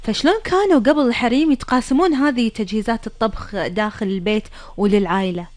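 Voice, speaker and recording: A woman's voice: a little high, quick pace, no room sound. A woman with a Gulf Arabic accent, hosting a radio programme in a conversational style. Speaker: radio show host.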